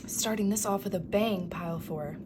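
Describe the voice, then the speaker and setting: Soft-spoken woman losing her voice. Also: mid-range voice, normal speed, background noise. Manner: soft, affirming, often dropping to a whisper. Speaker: tarot reader. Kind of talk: pick-a-card tarot reading.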